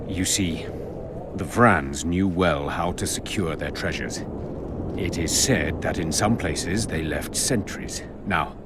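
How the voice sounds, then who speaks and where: Slow, deep-voiced shrewd scholar. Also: male voice, very noisy. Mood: sly, knowing. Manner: deliberate, lecturing. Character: shrewd, learned male expert, smug and mercenary. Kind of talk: video game cutscene acting. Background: loud music.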